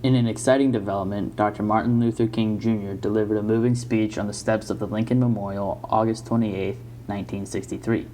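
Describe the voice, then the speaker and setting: A man's voice: on the low side, fairly even intonation, fairly quick, few pauses, dark tone, a little hiss or hum. A man reading scripted newsreel narration as the narrator.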